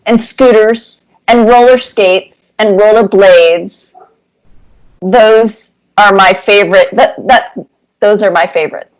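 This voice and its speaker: A woman in her fifties speaks as an interview guest. Her delivery is casual and reflective, at a middle pitch, explaining things through small personal stories. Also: steady tone, slow, poor audio. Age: 50s. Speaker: cartoonist guest being interviewed.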